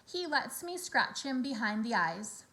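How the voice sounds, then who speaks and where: A woman voicing a boisterous, teasing young farm boy, excitable and defiant, in a storybook. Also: fairly high pitch, a little slow, full character voice. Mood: defiant, proud.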